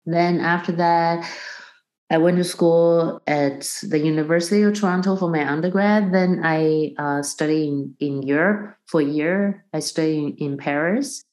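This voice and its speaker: A woman's voice: deep voice, steady tone, moderate pace, a little mellow, poor audio. A middle-aged woman from Taiwan, a guest on an interview, who explains things thoughtfully with examples and small restarts. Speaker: guest, percussion professor. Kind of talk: conversational interview.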